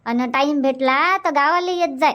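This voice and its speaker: A woman's voice: sits high, normal speed, fairly bright tone, dull audio. A woman voicing a chatty older village aunt in a Marathi cartoon comedy, scolding and motherly, with a Varhadi accent from Vidarbha. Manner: scolding, motherly, repeats herself.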